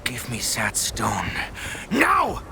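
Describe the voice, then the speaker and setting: An actor playing a fanatical, sneering Nazi officer in a video game, theatrical and grandiose, with a German accent. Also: male voice, medium pitch, wildly swooping pitch, very slow, creaky, noisy background, full character voice. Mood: threatening. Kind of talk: cinematic video game villain acting.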